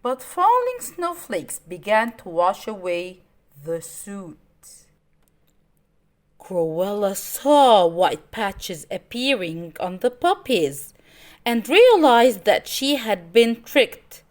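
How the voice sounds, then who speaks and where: A woman reading a children's story aloud in an expressive, dramatic way. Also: medium pitch. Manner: expressive, dramatic, deliberate phrasing.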